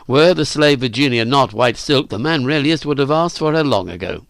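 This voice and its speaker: A man playing a stern, aloof master in an audiobook, speaking in a formal, measured, authoritative way. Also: medium pitch, expressive, creaky, no echo, studio quality, pitched above the performer's own voice. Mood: irritated, pointed. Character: stern, commanding male master, calm and aloof.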